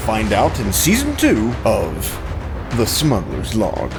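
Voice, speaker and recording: A man voicing a grandiose, excitable serial announcer, teasing cliffhangers in a pompous, theatrical style. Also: on the low side, slow, music in the background, full character voice. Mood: grandiose, suspenseful. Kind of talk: melodramatic radio serial announcing.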